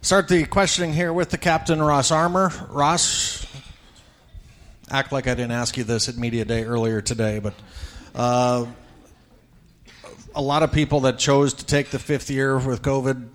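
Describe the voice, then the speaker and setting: A man hosting a live radio broadcast from a banquet event, emceeing and asking players questions in a brisk, chatty, joking way. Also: medium pitch, little pitch movement, a bit bright. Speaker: radio play-by-play host.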